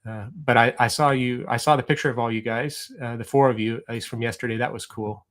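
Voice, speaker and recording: A man's voice: mid-range voice, steady tone, fast, a bit bright. Middle-aged man, livestream host, casual chat, rambling, lots of restarts. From Oregon. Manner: rambling, many ums and restarts. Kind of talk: casual chat reading viewer comments.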